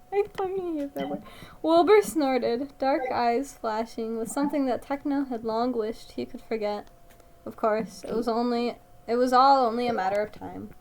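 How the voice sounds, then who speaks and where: A woman's voice: a little high, measured pace, vocal fry, darkish tone. A woman reads a story aloud and breaks in with blunt, mocking, sweary casual commentary. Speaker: reader reacting to a fan fiction story.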